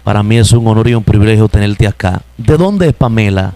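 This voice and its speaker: A man, a pastor hosting an interview, calm and affirming. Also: fairly low pitch, a little fast, faint music behind.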